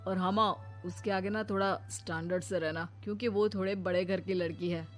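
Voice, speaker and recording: A woman's voice: medium pitch, steady tone, normal speed, soft background music, voice pushed down, close to an everyday voice. A woman voicing a selfish, impatient young man, the adult son in a family story, curt and demanding. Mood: eager.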